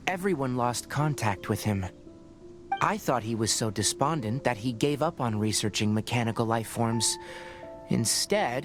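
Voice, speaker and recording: A composed young scholar, as a man plays him, calm and measured with a reserved edge. His voice sits in the middle of the range, and game music plays clearly behind him. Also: mid-range voice, natural voice. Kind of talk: video game voice acting.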